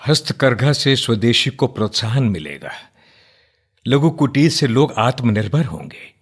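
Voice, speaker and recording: An actor in a radio play playing an earnest, outspoken nationalist man who debates firmly but respectfully, in deliberate, declamatory phrases. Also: medium pitch, slightly rough. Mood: firm, challenging.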